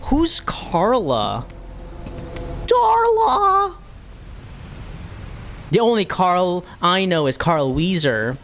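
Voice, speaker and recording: A woman's voice: lowish voice, very animated, extremely slow, pauses often, a little hiss or hum. A woman giving casual, jokey, exclamatory commentary as she plays through a video game. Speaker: gamer reacting to a first playthrough. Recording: game music behind voice.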